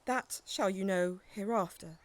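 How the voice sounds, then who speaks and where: A woman's voice: medium pitch, a little slow, lightly acted. A woman playing a bitter, grieving noblewoman in a Shakespearean audio drama, with a formal, cutting, theatrical delivery. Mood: wary yielding.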